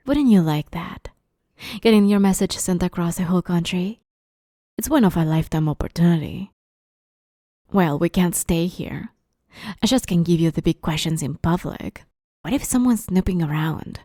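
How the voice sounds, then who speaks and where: A woman playing a playful, smug, menacing vampire interviewer in an ASMR roleplay, teasing and drawling, often slipping into breathy whispers. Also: lowish voice, mellow, dry recording, clean studio sound, close to an everyday voice. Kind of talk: ASMR roleplay, scripted monologue.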